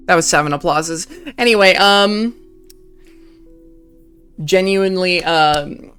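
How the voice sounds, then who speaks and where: Chatty streamer, swears a lot, says like constantly, some game music quiet in back. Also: medium pitch, takes it slowly. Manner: chatty, swears freely, lots of fillers. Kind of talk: casual gaming stream chat.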